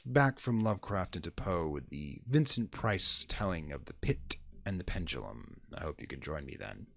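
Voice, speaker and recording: Deep, gravelly, theatrical male horror host. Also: no room sound. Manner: dramatic, deliberate, macabre flourish. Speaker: host and presenter of a horror radio theater series.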